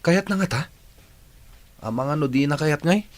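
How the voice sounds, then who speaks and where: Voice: mid-range voice, expressive, a little slow, lightly acted. An actor with an Ilocano accent playing a dutiful, worried young working student in a radio drama.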